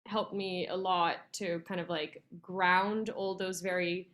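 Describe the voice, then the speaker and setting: A woman's voice: on the low side, little pitch movement, takes it slowly, a bit bright. A young woman from Argentina, a guest on an interview, chatting casually with frequent laughs and filler words. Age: young adult. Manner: chatty, laughs often, many ums and likes. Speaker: guest, translator.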